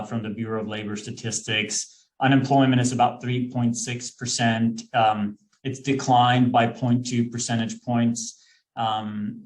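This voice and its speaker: A man's voice: medium pitch, poor audio. A man, an executive recruiter speaking as a webinar guest in a friendly, explanatory way with frequent fillers and restarts over a video call. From Sweden. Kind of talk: webinar presentation.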